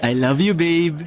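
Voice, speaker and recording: A man playing a sincere, gentle young Filipino boyfriend in a radio drama. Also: on the high side, full character voice.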